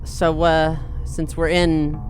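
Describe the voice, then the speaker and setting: A man's voice: a little high, completely flat delivery, slow pace. A man playing a tabletop game, improvising casual in-character talk in an informal, hesitant manner. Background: music.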